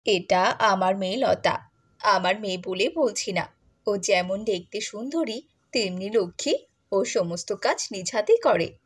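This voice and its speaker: A woman playing a harsh, bossy middle-aged stepmother in a cartoon moral tale, with a nagging way of talking and a husky voice. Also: medium pitch, unhurried. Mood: boastful.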